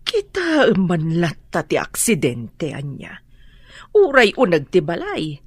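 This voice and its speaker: A woman's voice: on the low side, wildly swooping pitch, slow, slightly rough, no echo, exaggerated voice. An actress with an Ilocano Filipino accent playing a nosy, dramatic middle-aged woman friend in a radio drama. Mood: concerned.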